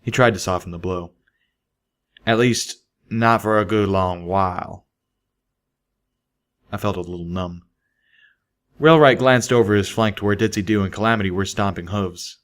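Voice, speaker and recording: A man's voice: low voice, moderate pace, long pauses, fairly bright tone. A man reading a scripted first-person story as an audiobook narrator. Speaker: audiobook reader.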